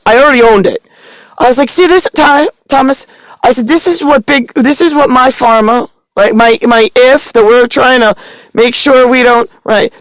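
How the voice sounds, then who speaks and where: A woman with a fairly high voice tells a long family story, jumping between thoughts and swearing as she gets worked up. Her delivery is full of fillers and restarts, and the sound is dry and close. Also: smooth, dry recording, poor audio. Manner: disjointed, profane, agitated retelling; many fillers and self-corrections. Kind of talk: rambling personal story.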